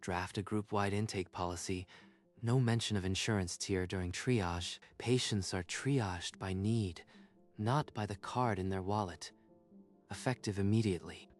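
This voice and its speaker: A man's voice: on the low side, soft background music, natural voice. A man playing a firm, decisive executive. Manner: firm, measured. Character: calm, decisive male executive.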